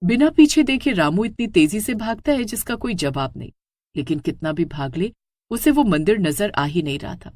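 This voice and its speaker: A woman's voice: medium pitch, expressive, moderate pace, a little mellow, dry recording. A woman narrates a scripted horror story in a dramatic, suspenseful way.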